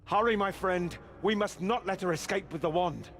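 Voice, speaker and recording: A man's voice: high-pitched, normal speed, a bit bright, a little hiss or hum. A man playing an earnest, virtuous knight adventurer in a fantasy video game, with a solemn delivery. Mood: urgent.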